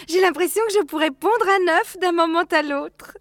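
A woman voicing a fussy, excitable mother bird in a children's storybook, exclamatory and dramatic. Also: very high voice, normal speed, dry recording, studio quality, voice pushed up. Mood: delighted.

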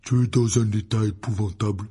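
Gruff storybook giant played by a male narrator: dark, very husky, protective, grumbling and blunt. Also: mid-range voice, normal speed. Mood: gruff scolding.

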